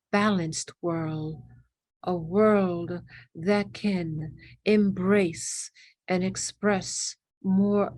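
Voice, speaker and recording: A woman's voice: mid-range voice, a little mellow, dry recording. A woman leading a spiritual guided meditation in a reverent, incantatory manner, repeating phrases in fragments.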